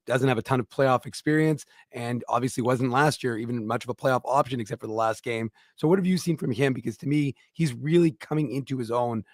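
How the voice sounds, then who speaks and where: A man's voice: medium pitch, no echo, clean studio sound. A man co-hosting a hockey talk show, putting a question to a guest earnestly, with a few stumbles as he gets to the point. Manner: earnest interviewer, with stumbles as he builds his question. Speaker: co-host.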